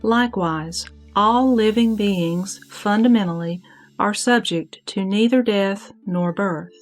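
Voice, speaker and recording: A woman playing a serene, wise heavenly goddess in a scripted sutra audio drama, teaching in a measured, declamatory way. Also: medium pitch, natural voice. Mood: calm, assured. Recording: background music under the voice.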